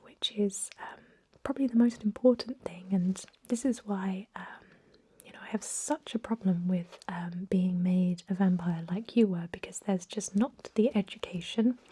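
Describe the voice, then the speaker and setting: A woman playing a thousand-year-old vampire medic in an ASMR role-play, whispering softly in a gentle, courteous, reassuring way with a hesitant, explanatory manner. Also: mid-range voice, moderate pace. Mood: soothing, instructive. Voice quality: soft, breathy whisper. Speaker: emergency room clinician in a role-play.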